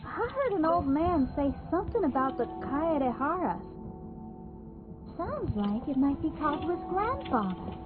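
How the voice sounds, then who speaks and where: A woman voicing a small, curious, childlike fairy companion in a video game, squeaky and inquisitive. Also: sing-song, a little slow. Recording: thin phone-like game stream audio. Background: game music.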